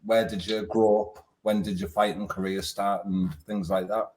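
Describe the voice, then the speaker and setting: A man's voice: fairly low pitch, level tone, moderate pace, a little mellow, slight background noise. A man hosting a casual interview, friendly and informal. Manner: friendly, chatty, informal slang. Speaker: podcast host.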